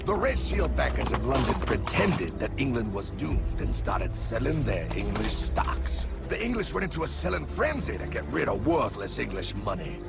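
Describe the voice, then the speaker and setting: A man voicing an excitable, zealous cartoon guide who rants against evil bankers in an emphatic, conspiratorial way. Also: mid-range voice, moderate pace, vocal fry, hardly any pauses. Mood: intense storytelling. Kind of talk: animated cartoon dialogue. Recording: dramatic music behind the voice.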